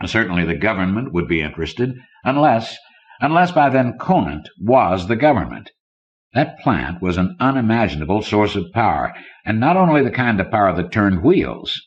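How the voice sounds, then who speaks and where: Very deep-voiced male audiobook narrator. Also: very animated, normal speed. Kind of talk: scripted fiction narration. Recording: dull lo-fi old cassette recording.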